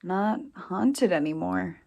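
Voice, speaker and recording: A woman's voice: lowish voice, takes it slowly, vocal fry, a little mellow, heavily acted. A woman acting a practical, matter-of-fact sister of few words in an audio play. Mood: practical, cautious. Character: practical, matter-of-fact sister helping search a derelict family home. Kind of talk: naturalistic audio play dialogue.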